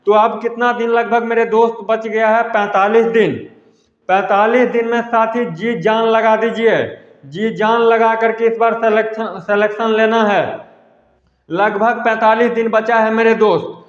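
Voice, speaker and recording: A man's voice: sits high, flat delivery, normal speed. A man gives an informal exam update to students, repeating himself emphatically and addressing them as friends. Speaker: exam news presenter. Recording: echoey hall-like reverb, dull lo-fi sound.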